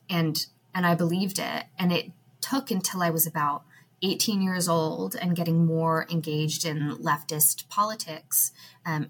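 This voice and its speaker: A young woman with a slightly low voice, talking as a podcast guest. She speaks thoughtfully and pauses on fillers. There is a faint hiss behind her. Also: a bit bright. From United States. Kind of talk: podcast interview.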